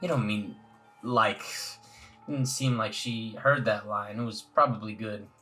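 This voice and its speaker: A man's voice: on the low side, a little slow, sharp tone. A man hosting a let's play, reading visual novel narration aloud in a casual way. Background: faint music.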